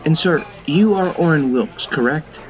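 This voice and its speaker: An actor playing a deferential, formal hotel waiter in an audio drama. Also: male voice, fairly high pitch, expressive, a little slow. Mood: courteous. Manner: polite, formal. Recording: slightly dull, muffled sound.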